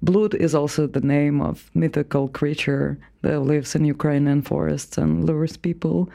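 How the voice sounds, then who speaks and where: A musician guest in a radio interview, speaking slowly and haltingly with fillers. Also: medium pitch, little pitch movement, vocal fry, warm tone. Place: radio studio.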